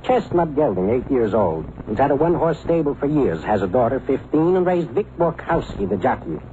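A man's voice: mid-range voice, very animated, brisk, mellow, natural voice. A man playing a chatty, shrewd neighborhood bookie with clipped, breezy patter in a vintage radio drama. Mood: matter-of-fact. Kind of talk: 1950s radio drama acting. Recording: muffled, hissy old radio recording.

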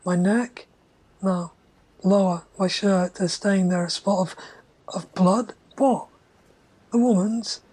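A man narrating in the first person as a haunted, uneasy figure, measured and at times falling to a whisper. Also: high voice, steady tone. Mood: brooding. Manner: brooding, measured, slipping into hushed whispers. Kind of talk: literary narration.

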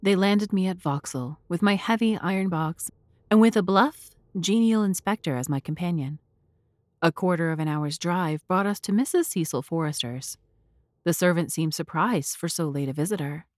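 Story narrator, woman, slightly low pitch, dry close sound, scripted literary delivery. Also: moderate pace, a little mellow, dry recording.

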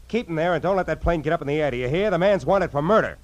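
A man's voice: lively intonation, racing, dry recording, highs cut off, natural voice. A man acting a hard-boiled, world-weary private detective in a 1940s radio drama, with clipped, commanding delivery. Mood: urgent. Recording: noisy vintage radio recording, vehicle rumble.

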